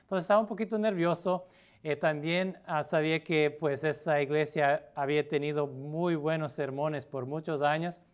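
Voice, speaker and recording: A middle-aged man from Portugal, a native Portuguese speaker, preaching a sermon as a pastor with an earnest manner and frequent pauses. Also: on the high side, steady tone, smooth. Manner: earnest, with pauses and filler sounds.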